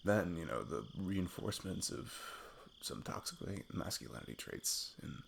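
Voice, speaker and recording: A man playing a sweet, nervous best friend in an intimate ASMR roleplay, halting and self-deprecating as he opens his heart. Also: low-pitched, very animated, gravelly, fairly bright tone, slight background noise, exaggerated voice. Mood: vulnerable, self-deprecating.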